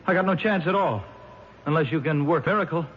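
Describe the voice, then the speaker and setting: An actor in an old radio drama playing a desperate, nervous murder defendant who stammers and talks in street slang. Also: male voice, a little high, fairly quick, breathy edge. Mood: weary, guarded. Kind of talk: 1970s radio drama acting. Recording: dull old radio broadcast.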